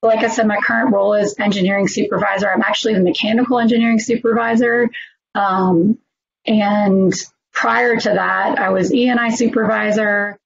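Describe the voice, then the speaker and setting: A hoarse woman, introducing herself casually as an engineering supervisor, with many pauses and ums. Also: medium pitch, fairly even intonation, highs cut off. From New Orleans, United States. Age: 40s. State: hoarse, losing her voice. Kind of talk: recruiting presentation self-introduction.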